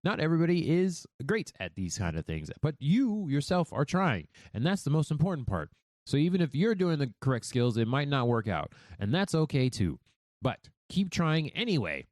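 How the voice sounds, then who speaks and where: A man's voice: fairly high pitch, no room sound. A man hosting a podcast, talking loosely and profanely in casual commentary. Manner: animated, loose and profane, speaks fast. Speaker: podcast host.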